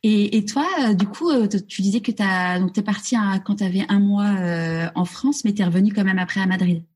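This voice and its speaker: Intervieweuse à la voix voilée. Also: female voice, mid-range voice, fairly even intonation, moderate pace, a little mellow, husky. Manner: friendly, encouraging. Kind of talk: casual interview questions.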